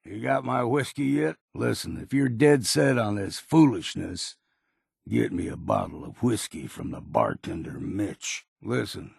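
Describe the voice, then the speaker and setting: An actor playing a gruff, cynical old wilderness guide in a video game, speaking in a gravelly, husky voice with a curt, folksy manner. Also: male voice, on the low side, a little mellow, no room sound, studio quality, heavily acted. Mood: grudging. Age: older. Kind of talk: video game character dialogue.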